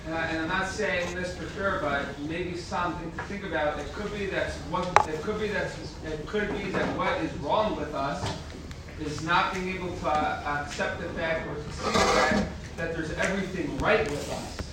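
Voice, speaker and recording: A man's voice: fairly high pitch, moderate pace. A man in the group offering a tentative thought, hedging and thinking aloud. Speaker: group member given the floor. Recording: distant mic, heavy echo, loud background noise.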